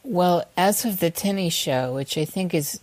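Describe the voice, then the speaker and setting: A woman's voice: on the low side, expressive, unhurried, a little mellow, dry recording. A woman guest on a talk podcast, speaking thoughtfully and searching for words. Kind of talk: casual interview chat.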